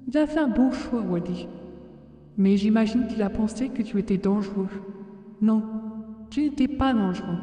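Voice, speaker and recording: A performer voicing a gentle, watchful parent spirit in a comic dub, with heavy reverb on the voice. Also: mid-range voice. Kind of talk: dramatic comic dub monologue. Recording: distant, very echoey hall reverb, music behind.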